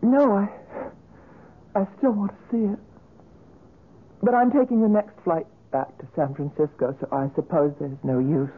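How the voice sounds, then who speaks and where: A woman in a 1970s radio drama playing a fragile, polite, distressed visitor, speaking in a breathy, halting way. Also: on the low side, fairly even intonation. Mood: subdued, polite. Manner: halting, hesitant. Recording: tinny, narrow-band old radio broadcast.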